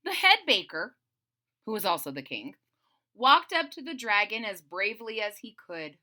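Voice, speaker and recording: A woman reading a storybook aloud to children, expressive and conversational, speaking directly to young listeners. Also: medium pitch, normal speed. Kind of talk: animated children's read-aloud with casual asides.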